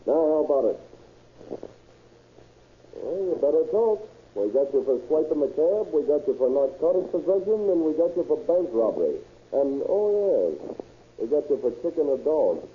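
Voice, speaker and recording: An actor playing a dogged, wry police lieutenant who questions with calm, dry, needling patience in an old radio crime drama. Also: male voice, on the high side, normal speed. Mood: pressing, confident. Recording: scratchy, tinny old radio recording.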